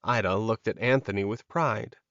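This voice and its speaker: A man's voice: lowish voice, normal speed, a bit bright, no room sound, muffled recording. A man reading scripted fantasy fiction as a dramatic audiobook narrator.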